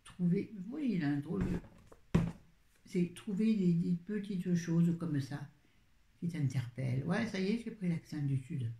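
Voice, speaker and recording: Chatty rambling woman, mellow and roomy. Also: on the low side, unhurried, vocal fry, slight background noise. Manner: rambling, chatty, self-interrupting. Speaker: book vlogger. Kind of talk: casual book review chat. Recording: roomy echo.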